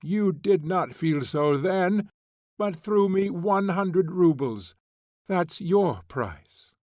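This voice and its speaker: A man's voice: sing-song, takes it slowly, a little mellow, no echo, clean studio sound. A male reader voicing a bitter young woman convict who is drunk, furious and mocking. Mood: scornful. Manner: scornful, mocking, shrill.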